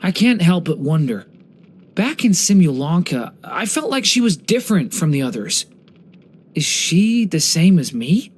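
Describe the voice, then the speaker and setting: A man voicing a young dragon boy in human form for a video game, speaking gently, politely and earnestly, like someone telling a story. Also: high voice, very animated, unhurried, husky, full character voice. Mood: gentle, earnest. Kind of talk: English video game character voice lines.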